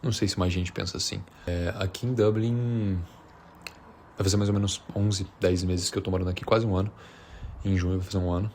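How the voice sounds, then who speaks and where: A Brazilian man with a fairly low voice talks casually, as a vlogger would. He speaks informally and off the cuff, with slang and a hesitant, rambling flow. Some background noise and ambience sit behind him. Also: fairly even intonation, a little fast, a little mellow. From Minas Gerais, Brazil. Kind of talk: unscripted casual chat to camera.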